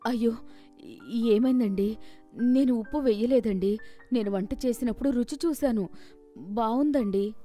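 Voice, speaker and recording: A woman voicing a humble, earnest old village cook in an animated moral story, speaking deferentially. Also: fairly high pitch, moderate pace, pauses often, soft background music, close to an everyday voice. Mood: alarmed.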